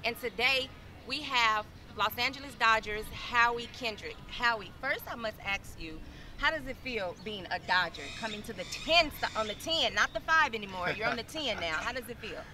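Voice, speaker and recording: A woman's voice: on the high side, animated, moderate pace. A woman in her thirties hosting an interview outdoors, asking questions in a bubbly way. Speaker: interview host. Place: outdoors in a sunny garden courtyard. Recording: voice a little distant from the mic.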